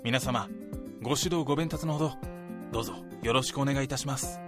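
A man's voice: medium pitch, quick pace, natural voice. Man playing an earnest young office junior over music. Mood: eager, formal. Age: young adult. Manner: deferential, polite junior speech. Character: earnest, polite young male junior salesman. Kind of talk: radio drama acting. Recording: prominent music behind the voice.

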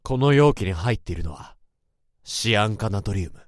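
A man's voice: on the low side, expressive, normal speed, no echo, studio quality, lightly acted. An actor playing a tense office worker who narrates his own inner thoughts in a radio drama. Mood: cold. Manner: tense, brooding, rising to urgent shouts. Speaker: narrator and protagonist.

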